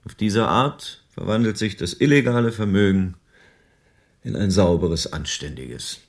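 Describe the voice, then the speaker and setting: A world-weary private detective in a radio crime drama. He explains things patiently and soberly in a lowish voice. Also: measured pace. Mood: explaining.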